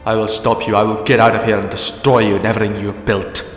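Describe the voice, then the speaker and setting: A man's voice: on the low side, flat delivery, fast, close to an everyday voice. A man playing a defiant, imprisoned time-travelling alien hero in a fan audio drama, wry and resolute. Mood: angry, determined. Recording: echoey, long reverb, music underneath.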